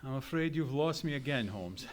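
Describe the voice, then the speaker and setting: An actor playing an earnest gentleman sidekick who tells a mystery in a formal, dramatic radio-play style. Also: mid-range voice, lively intonation, normal speed, lightly acted. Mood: earnest, puzzled. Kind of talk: dramatic narration in old-time radio play.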